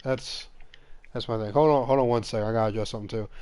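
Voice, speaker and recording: A man's voice: mid-range voice, steady tone, quick pace, a little mellow, clear, resonant tone, no echo. Congested male stream host, chatty and apologetic; clean dry sound. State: congested with a cold. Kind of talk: casual gaming podcast chat.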